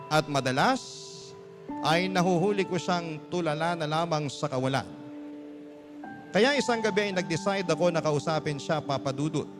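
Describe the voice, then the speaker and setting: A Filipino man telling a scripted first-person story in an earnest, emphatic, confiding radio-drama narration style. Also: a little high. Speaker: storytelling host. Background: music bed.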